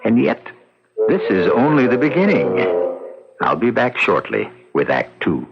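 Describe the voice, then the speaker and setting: A man hosting and narrating an old-time radio mystery in a theatrical, deliberate way. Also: medium pitch, very animated, vocal fry. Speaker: host and narrator. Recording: muffled old radio recording, loud music.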